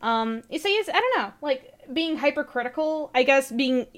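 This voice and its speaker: High-energy woman with a chipmunk-like voice. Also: expressive, normal speed, fairly bright tone. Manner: high-energy, laughs often, fillers like "um" and "you know". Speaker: YouTuber. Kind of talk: casual chat.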